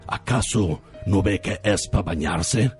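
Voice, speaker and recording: A man's voice: low voice, lively intonation, slow pace, over loud music. A man acting a pompous, evasive lover in a theatrical, over-earnest radio soap parody. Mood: smug, evasive.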